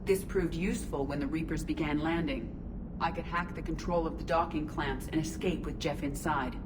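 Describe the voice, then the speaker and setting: An actress playing a calm, literal, curious female ship AI in a robot body, speaking in a measured, formal, precise deadpan for a video game. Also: low-pitched, background noise, natural voice. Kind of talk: scripted sci-fi video game dialogue.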